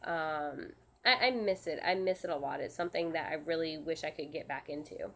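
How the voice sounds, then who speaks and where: Stuffy-nosed woman with a lowish voice. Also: a little hiss or hum. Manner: halting, frequent restarts and ums, soft and earnest. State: stuffed up from a sinus infection, scratchy throat. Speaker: service dog handler and channel host. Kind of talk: casual live Q&A answering viewer questions.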